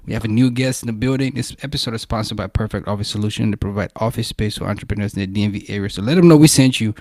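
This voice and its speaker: A male podcast co-host who talks very quickly in loose, slangy phrases. He throws in hype-man interjections, and his mid-pitched voice is recorded close and dry. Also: a little mellow, no echo. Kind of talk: casual podcast chat.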